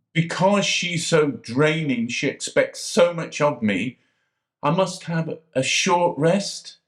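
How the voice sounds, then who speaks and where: An actor playing a sly, self-pitying jailed man who swings between hushed confiding and sudden outbursts. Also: fairly high pitch. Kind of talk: dramatic monologue to a visitor. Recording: echoey room.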